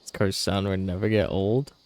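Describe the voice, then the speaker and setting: A man's voice: deep voice, flat delivery, moderate pace, darkish tone, dry recording. A young man giving casual gaming commentary, slangy and profane, with muttered asides. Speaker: game streamer.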